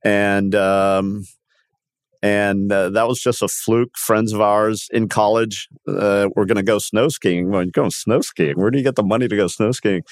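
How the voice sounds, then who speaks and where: A man in his sixties from Miami, born in Cuba, telling his life story as an interview guest in a halting, self-correcting way. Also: fairly low pitch, steady tone, no echo, clean studio sound. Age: 60s. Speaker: podcast guest, company founder.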